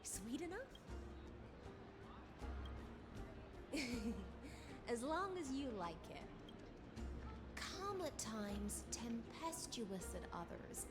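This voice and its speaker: A woman's voice: fairly high pitch, big pitch swings, slow. An actress playing an enigmatic sea siren noblewoman in a game, graceful, teasing and formally secretive. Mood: sly, alluring. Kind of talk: dramatic game dialogue. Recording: loud music underneath. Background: game music.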